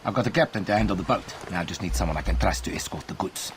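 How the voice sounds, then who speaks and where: An actor playing a tough, shrewd mercenary arms trader in a video game, informal and clipped. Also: male voice, low-pitched, racing, slight background noise, close to an everyday voice. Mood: persuasive. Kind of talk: video game cutscene acting. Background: music.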